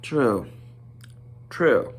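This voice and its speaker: A man voicing a proud, grumbling dwarf leader in a storybook reading, gruff and demanding, drawing out his words. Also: extremely slow. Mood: solemn.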